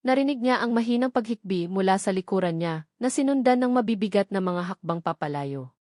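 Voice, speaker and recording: A woman's voice: medium pitch, lively intonation, a little fast, a little mellow, no echo, studio quality. A woman with a Filipino accent reading a scripted romantic drama as an audiobook narrator.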